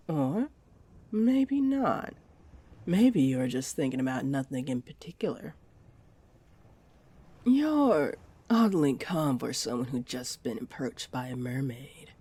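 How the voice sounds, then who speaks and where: A woman's voice: extremely low pitch, mellow, breathy edge, exaggerated voice. A woman playing a flirty, scheming mermaid in a roleplay audio drama, teasing and coaxing her listener. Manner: teasing, coaxing, slow and deliberate. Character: flirty, scheming female mermaid, sweetly tempting a pirate.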